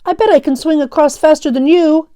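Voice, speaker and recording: A woman's voice: sits high, a little fast, mellow, pitched above the performer's own voice, close to an everyday voice. Woman voicing a sassy, competitive young boy. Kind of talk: children's storybook read-aloud.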